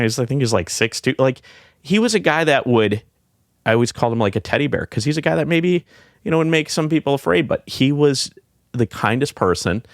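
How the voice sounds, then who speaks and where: A middle-aged man with a deep voice, a podcast guest talking in a casual, reflective way, rambling with many fillers and restarts. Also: no echo, clean studio sound.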